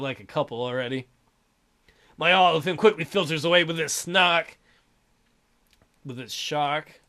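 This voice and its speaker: A man's voice: high voice, sharp tone. A man reading visual novel text aloud as a let's play host, with irreverent, sarcastic asides. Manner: irreverent, crude, sarcastic commentary.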